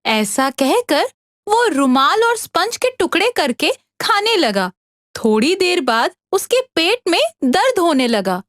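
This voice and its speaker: Very high-voiced woman storyteller, lively delivery. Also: slow, no room sound, studio quality. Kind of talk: scripted narration of an animated Hindi story.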